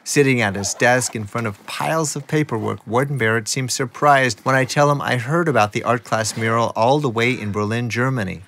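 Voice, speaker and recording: A man's voice: mid-range voice, a little fast, few pauses, fairly bright tone, a little hiss or hum. A man reading scripted narration as the narrator of a radio documentary.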